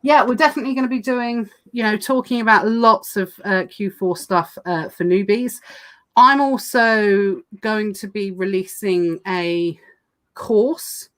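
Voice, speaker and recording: A woman hosting a casual livestream chat, talking fast with lots of fillers and restarts, her voice going hoarse. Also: mid-range voice, a bit bright. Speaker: podcast host.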